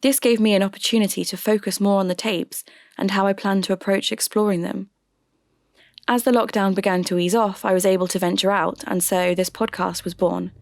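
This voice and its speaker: An actress playing a curious radio DJ hosting a podcast, narrating in a measured, conversational way. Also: female voice, mid-range voice, steady tone, darkish tone, no room sound, studio quality, lightly acted. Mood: measured, intrigued. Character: spooky-curious female radio DJ turned podcast host. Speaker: host.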